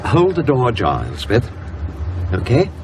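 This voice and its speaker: An actor playing a steady, watchful man in a radio drama, with a measured, terse manner. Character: steady, watchful, concerned man in a secret plot. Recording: loud vehicle rumble and ambience.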